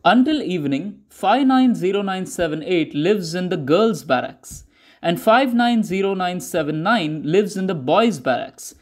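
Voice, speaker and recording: A man with a high-pitched voice narrates a scripted newsreel documentary. He speaks in measured, declarative statements, like official propaganda. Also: a little mellow.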